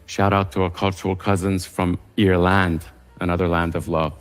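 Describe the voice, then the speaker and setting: An Iranian-American man reading a scripted reflective essay in a measured way. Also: low-pitched, level tone, big-room sound, faint music behind.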